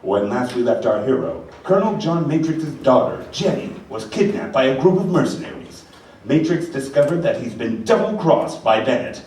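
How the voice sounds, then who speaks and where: Radio play narrator: man, lively dramatic delivery, distant in an echoey room. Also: medium pitch, normal speed. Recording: echoey, distant live room, background noise.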